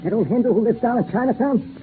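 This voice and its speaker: A man's voice: extremely high pitch, quick pace. An actor playing a small, ferret-like gangster's henchman, a nervy, slangy streetwise thug, in 1940s radio style. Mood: uneasy. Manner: slangy, jumpy, eager. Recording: noisy narrow-band 1940s radio recording.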